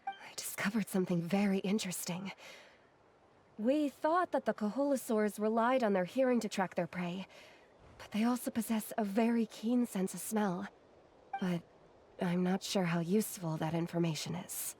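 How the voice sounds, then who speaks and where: A woman voicing a timid, gentle young female assistant scholar in a video game, polite and hesitant. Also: medium pitch, moderate pace, close to an everyday voice. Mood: shy. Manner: hesitant, soft-spoken, polite.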